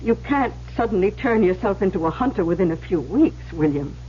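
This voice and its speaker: A middle-aged mother acted by a woman speaks in a medium-pitched, fretful but soothing way. The theatrical radio drama sounds dull, with faint hiss behind her. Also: expressive, brisk, dark tone, lightly acted. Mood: anxious. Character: anxious, conciliatory mother and farm wife. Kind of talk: old-time radio drama acting. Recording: muffled, faintly noisy vintage radio recording.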